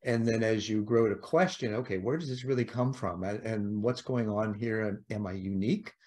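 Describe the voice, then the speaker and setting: A man's voice: lowish voice, moderate pace, darkish tone. A middle-aged man hosting a podcast, asking long rambling questions over a video call. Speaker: podcast host. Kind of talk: casual interview chat.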